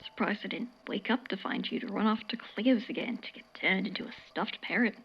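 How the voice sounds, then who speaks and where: A woman playing a weary, recovering friend in an audio drama, with a soft breathy voice and a hesitant, dry-witted manner. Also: mid-range voice, a little fast, highs cut off. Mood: dry teasing. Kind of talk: naturalistic audio drama acting.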